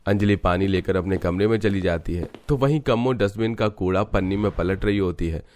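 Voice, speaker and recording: Low-voiced man narrating a cartoon story. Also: brisk, darkish tone.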